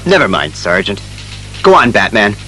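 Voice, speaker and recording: Testy male commissioner, emphatic, sharp bright voice, lively pitch, audio drama. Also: normal speed, faint music behind. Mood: brisk, exasperated. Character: brisk, exasperated male police commissioner.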